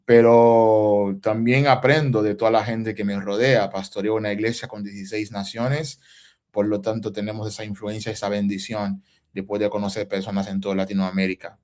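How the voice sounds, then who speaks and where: A man's voice: lowish voice, little pitch movement, moderate pace, a little mellow. A man from Haiti with a Haitian accent touched by Dominican Spanish, a pastor explaining ideas patiently with everyday examples. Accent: Haitian with Dominican influence. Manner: didactic, uses everyday examples, rising questions. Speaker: pastor, guest. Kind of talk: reflective interview answers.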